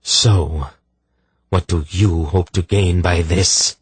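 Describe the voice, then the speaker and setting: A man's voice: deep voice, steady tone, slow, husky, dry recording, heavily acted. A man voicing a stern sorceress in a fantasy audiobook, cold, imperious and sure of her power. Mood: scornful, triumphant.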